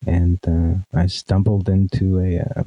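A man's voice: low-pitched, little pitch movement, unhurried, mellow, dry recording, dull audio. A man in his thirties talking about his music as a guest, with a sleepy, nasal voice and a hesitant manner full of ums and restarts. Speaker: guest, guitar pedal demo musician. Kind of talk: casual interview chat.

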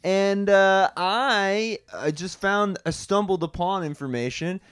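A man's voice: high voice, slow pace, a bit bright, smooth, no room sound. A man improvising a laid-back comedy character on a podcast, drawling and peppering his talk with "dude".

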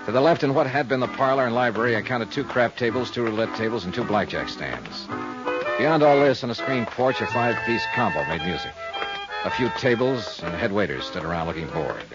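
An actor playing a world-weary, hard-boiled investigator, terse and wry, in 1950s radio noir. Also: male voice, fairly low pitch, lively intonation, a little fast, lightly acted. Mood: brooding, wry. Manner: terse, dry, wry tough-guy delivery. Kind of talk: 1950s radio noir acting and first-person narration. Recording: muffled 1950s radio recording with music.

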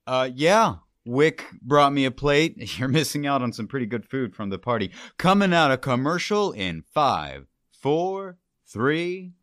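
A man's voice: mid-range voice, big pitch swings, a little slow, hardly any pauses, a bit bright, no echo, clean studio sound. A man playing a laid-back, teasing radio engineer. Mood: offhand. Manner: offhand, teasing.

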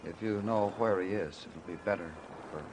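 An actor playing a brooding, sardonic police detective in an old-time radio crime drama, terse in questioning and somber in narration. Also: male voice, low voice, moderate pace, background noise, lightly acted. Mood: stern. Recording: vintage radio recording with musical underscore.

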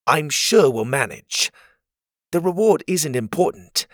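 A man's voice: fairly high pitch, big pitch swings, measured pace, fairly bright tone, no room sound, studio quality, pitched above the performer's own voice. Man putting on a cocky boy hero. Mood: boastful. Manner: proud, bold and boastful.

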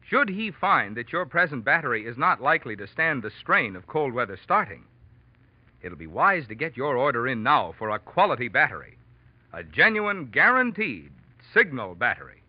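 A man's voice: mid-range voice, sing-song, normal speed, dry recording. An American man announcing on 1940s radio, reading scripted sponsor copy in a polished, emphatic manner. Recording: dull old radio recording.

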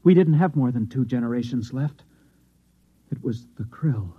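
A man acting in an old-time radio drama as a distraught Martian trapped in an Earthman's body, halting, haunted and earnest. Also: mid-range voice, slightly rough, close to an everyday voice. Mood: grim, haunted. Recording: muffled old radio recording.

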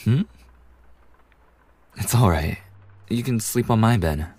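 Low, husky, gentle young bartender played by a man. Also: animated, takes it slowly, full character voice. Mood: soft, soothing. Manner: gentle, reassuring, slightly bashful. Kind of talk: intimate ASMR roleplay acting.

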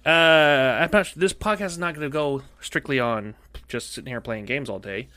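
A man's voice: medium pitch, normal speed, pushed and bright, no room sound. A male podcast host with a cough, chatting casually in a rambling, jokey way that keeps repeating itself. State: coughing, losing his voice.

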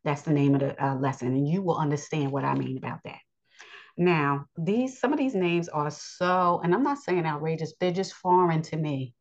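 A woman's voice: deep voice, moderate pace, darkish tone. A woman from the United States giving an unscripted Bible lesson, earnest and repetitive with frequent ums. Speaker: Bible teacher. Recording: dull lo-fi audio.

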